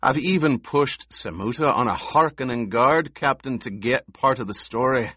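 A man's voice: medium pitch, normal speed, slightly rough, no room sound, clean studio sound, pitched above the performer's own voice. A man voicing a snarling, furious warrior. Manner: snarling, shouting threats. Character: furious loyal male warrior holding a hostage. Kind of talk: audiobook character voice.

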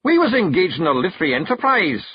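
A male narrator voicing a small, fierce, excitable fairy clan chief with a Scottish accent, high, loud and flustered. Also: quick pace, slightly rough, dry recording, dull audio, full character voice. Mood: gruff.

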